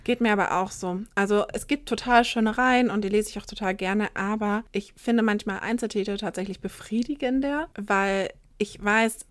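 A woman's voice: medium pitch, dry recording. A thirty-one-year-old woman from Saxony-Anhalt, co-hosting a podcast in a friendly, casual way. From Saxony-Anhalt, Germany. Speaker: podcast co-host.

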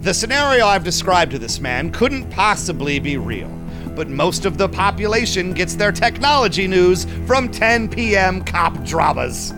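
A man's voice: high voice, full character voice. A man playing a shady, condescending vampire businessman in an audio drama, with an unctuous, theatrical, confiding manner. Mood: smug, confiding. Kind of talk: audio drama acting with narrated asides.

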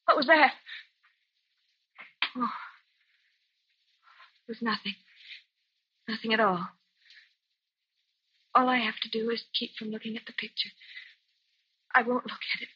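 An actress playing a terrified young woman haunted by dread, halting and repetitive in melodramatic 1940s radio suspense style. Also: fairly high pitch, breathy edge. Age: 20s. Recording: dull old-time radio recording, faint music.

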